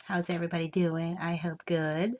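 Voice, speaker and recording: A woman with a cold, sounding congested, who talks slowly to her viewers and reassures them with many fillers. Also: fairly low pitch, level tone, a little mellow. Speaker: spiritual reader. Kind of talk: casual spiritual vlog.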